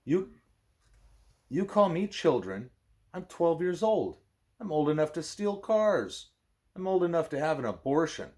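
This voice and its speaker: A man's voice: a little high, sing-song, unhurried, long pauses, a little mellow, pitched above the performer's own voice. A man voicing an angry, defiant twelve-year-old girl in an audiobook, indignant and emphatic.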